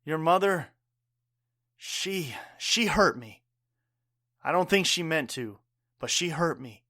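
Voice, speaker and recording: A man acting a wounded, bitter father who is secretly a robot-building villain in a pulp radio drama, speaking haltingly and melodramatically. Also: fairly high pitch, animated, sharp tone, no echo, studio quality, full character voice. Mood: remorseful, halting.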